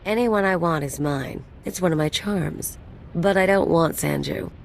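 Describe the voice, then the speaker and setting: A woman playing a vain, flippant mercenary sidekick in a video game, teasing and self-assured, with faint rumbling noise behind her. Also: unhurried, vocal fry. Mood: teasing, smug.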